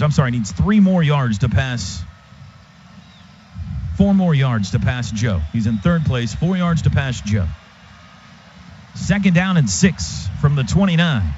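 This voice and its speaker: A man gives live football play-by-play on the radio in short, clipped phrases that rise on big plays. His voice sits fairly high. The broadcast sound is slightly dull, with faint noise behind him. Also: a little mellow. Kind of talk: live American football commentary. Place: stadium radio booth. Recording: noisy, muffled stadium radio broadcast.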